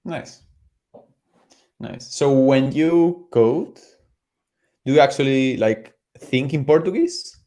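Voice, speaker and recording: Man host, English, casual, lots of uh and like, middle pitch. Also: slow, pauses often, dark tone. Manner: loose, filler-heavy questioning. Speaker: podcast host. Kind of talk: casual interview chat.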